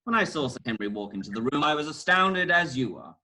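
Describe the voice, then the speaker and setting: A man's voice: a little high, big pitch swings, fast, sharp tone, exaggerated voice. A man playing a bossy, clever detective in a comic amateur radio play, with a grand, declamatory delivery. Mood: confiding.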